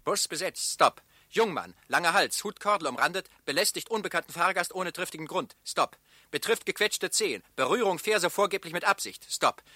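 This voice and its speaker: A man's voice: high-pitched, lively intonation, normal speed, bright, forceful tone, no room sound, full character voice. An officious radio-play bureaucrat, dictating a telegram in a raised voice. He fires off clipped, staccato phrases, and the sound is dry and close. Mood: officious, urgent. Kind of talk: theatrical radio drama recitation.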